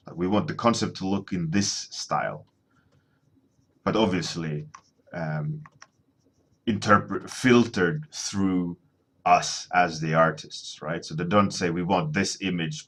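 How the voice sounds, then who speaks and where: A man's voice: sits low, a bit bright, breathy edge. A man in his thirties, a native Swedish speaker, chatting casually on a painting livestream from his home studio, rambling and restarting his sentences.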